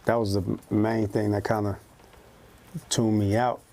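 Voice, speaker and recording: A man's voice: on the low side, level tone, unhurried, mellow. A man co-hosting a music show, chatting casually, agreeing often and stumbling into restarts. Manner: hesitant restarts, agreeable "definitely". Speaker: co-host reviewing songs. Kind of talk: casual chat.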